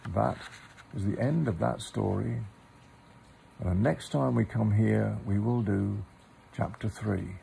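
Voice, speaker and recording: A man reading a children's story aloud in a measured way with pauses and informal asides. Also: on the low side, vocal fry, dark tone, slight background noise. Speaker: storybook reader.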